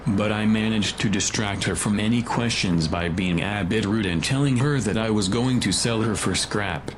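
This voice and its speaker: A man's voice: lowish voice, moderate pace, noisy background, lightly acted. A man playing a wry, matter-of-fact secret agent and reluctant conspirator in a sci-fi audio drama. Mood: wry, regretful.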